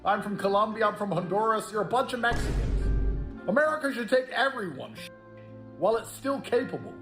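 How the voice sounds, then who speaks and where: A man's voice: high-pitched, animated, brisk, over music. A man ranting bluntly and provocatively on a clip. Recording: echoey room clip.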